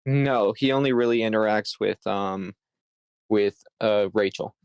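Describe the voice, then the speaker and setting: A man speaking casually in a group discussion, a little halting and hesitant. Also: lowish voice, a little mellow, clean tone, dry recording. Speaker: participant in a script table read.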